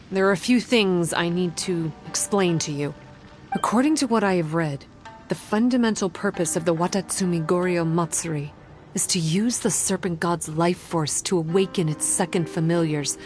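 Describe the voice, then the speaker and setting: A woman playing a composed, guarded young woman who explains things in a measured way in a video game, music behind. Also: fairly low pitch, lightly acted. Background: game background music.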